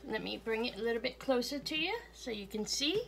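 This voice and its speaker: A woman talking casually through a cooking demonstration in her home kitchen, homey and unhurried, chuckling now and then. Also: a little high, lively intonation, vocal fry, a bit bright, slight background noise. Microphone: fixed camera at counter, speaker moving around.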